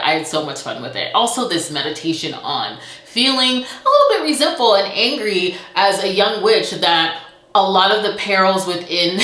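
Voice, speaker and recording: A woman's voice: fairly low pitch, fairly bright tone. A woman talking casually and enthusiastically as a book reviewer, gushing and playful with dramatic emphasis on her words. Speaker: book reviewer and channel host. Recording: roomy, echoey indoor sound.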